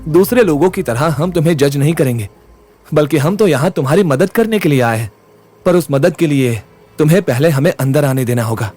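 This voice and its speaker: A man's voice: on the high side, lively intonation, brisk, darkish tone, slightly rough, soft background music. An actor playing a brash, confident young man who takes charge in an audio drama, with a blunt, swaggering manner. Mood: reassuring. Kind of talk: Hindi audio drama acting.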